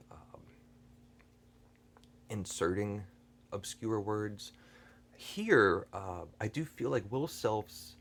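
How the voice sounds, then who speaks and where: A man hosting a book channel, talking through a review unscripted, hesitant and earnest, with many fillers and restarts and frequent throat clearing. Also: on the low side. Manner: thoughtful and earnest.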